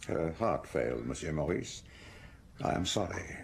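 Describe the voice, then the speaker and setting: A man playing a worried, sober country doctor in a radio drama, gravelly and matter-of-fact in his concern. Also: extremely low pitch, sing-song, normal speed, slight background noise, exaggerated voice. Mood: sober, formal. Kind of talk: British radio drama acting.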